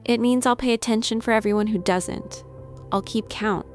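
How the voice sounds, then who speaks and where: A woman playing a protective, quietly serious mother in an eerie story, speaking gently but firmly. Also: on the high side, fairly even intonation, moderate pace, faint music behind, lightly acted. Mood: resolved. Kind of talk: scripted story dialogue.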